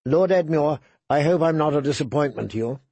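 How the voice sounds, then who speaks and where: A man voicing a shy, gentle young noblewoman in an audiobook. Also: fairly high pitch, a little fast, husky, no echo. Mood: timid.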